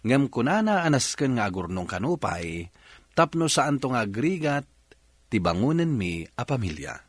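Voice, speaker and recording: A man presents a radio drama as its host. His mid-range voice is very animated in scripted, letter-reading narration, recorded dry and close in a studio. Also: medium pitch, normal speed, slightly rough, dry recording.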